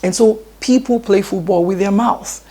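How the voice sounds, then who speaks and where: A woman with a Nigerian accent speaks as an interview guest. She is earnest and emphatic and often circles back over the same phrase. Her voice sits at a middle pitch. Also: moderate pace, a crowd in the background. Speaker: education guest. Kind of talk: interview answers.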